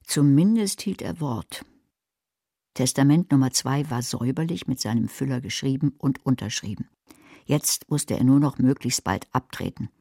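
A sardonic radio-play narrator with a dark, husky voice. She tells the crime story drily and enviously, gravelly and very close, in a dry studio sound. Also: a bass voice, normal speed, no echo, full character voice. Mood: sardonic, calculating. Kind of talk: scripted first-person radio drama narration.

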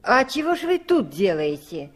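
A woman's voice: medium pitch, very animated, normal speed. An actress playing a compassionate, curious countrywoman in a 1960s radio drama, with a folksy way of exclaiming and questioning. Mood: curious, amazed. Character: compassionate, curious countrywoman listening to a traveller's tale.

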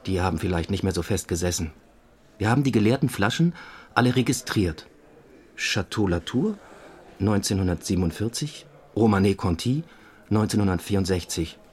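A man playing a police investigator in an audio drama, reporting in a factual, measured, dry-witted manner. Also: deep voice, expressive, darkish tone, slightly rough. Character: matter-of-fact male police investigator giving a case report. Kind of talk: German audio drama dialogue.

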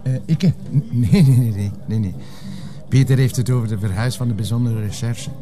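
A man's voice: medium pitch, a little fast, lightly acted. A man acting a patient, sensible police inspector in a TV crime drama, polite and restrained, with a Flemish accent. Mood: patient, dry.

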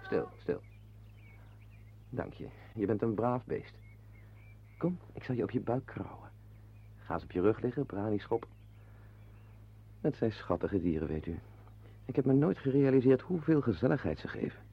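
A man's voice: mid-range voice, expressive, normal speed, creaky, pauses often, husky. An actor playing a wry, brooding henpecked husband in a Dutch radio play, confiding his musings to the listener. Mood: coaxing. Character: wry, brooding henpecked husband, animal-loving former artist. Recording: muffled, band-limited old radio play recording.

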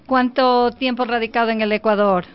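Smooth-voiced woman radio host. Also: a little high, fairly even intonation, highs cut off. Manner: brisk, announcer-like, closing formulas. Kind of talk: radio interview questions.

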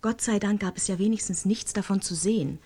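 A woman's voice: mid-range voice, fairly quick, dark tone, slightly rough, close to an everyday voice. Female studio guest, acted, kindergarten teacher: mid-range voice, conversational, candid, unpolished, radio drama. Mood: uneasy, candid.

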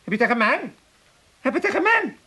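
An actor playing a cranky, cheeky old Amsterdam father in a comic radio drama, with a husky voice. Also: very high voice, big pitch swings, a little fast, pushed and bright, exaggerated voice. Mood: indignant.

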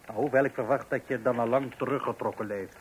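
An actor playing a tactless, pedantic man in a radio play, deliberate and fussy, often repeating his own words. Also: medium pitch, fairly even intonation, lightly acted. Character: tactless, pedantic male acquaintance, fussily doing sums. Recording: noisy old radio play recording.

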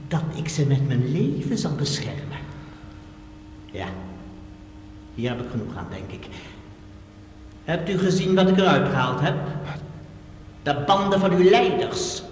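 A defiant professor in a radio drama, his high voice swinging widely between mock courtesy and threat. He is theatrical and taunting, heard in a long, echoing hall. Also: big pitch swings, a little slow, pauses often, darkish tone. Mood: gloating. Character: defiant, threatening professor holding archive tapes hostage. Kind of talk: radio play acting. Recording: echoey reverberant hall, faint hum.

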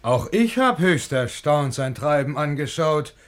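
Courteous gentleman, middle-aged, calm and wry, theatrical rhyming delivery, higher-pitched male voice. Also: a little slow. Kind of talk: theatrical rhymed-verse radio play acting.

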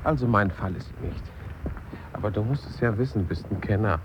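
A man playing a low-voiced cynical funeral gossip. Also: brisk, lightly acted. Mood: gossipy. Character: gossiping male funeral guest, nosy, cynical. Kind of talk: German radio play dialogue. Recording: dull radio recording, clear background noise.

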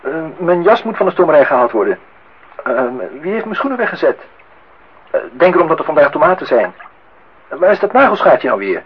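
A man's voice: on the high side, very animated, fairly quick, pauses often. An actor playing a wry, grumbling man acting the husband and father in a Dutch radio play, with a dry, theatrical irony. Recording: tinny, band-limited old radio recording, faint noise.

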